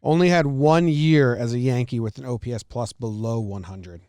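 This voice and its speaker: An American man hosts a casual sports podcast. His voice is clean and smooth at an ordinary middle pitch, recorded close and dry with no room sound. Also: moderate pace, dark tone, no room sound. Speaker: podcast host.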